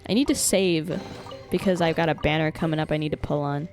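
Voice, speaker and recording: A woman's voice: low voice, fairly even intonation, fairly quick, a little mellow. A woman livestreaming a game, reacting casually and playfully with exclamations and swearing. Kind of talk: casual live reaction commentary. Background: game music.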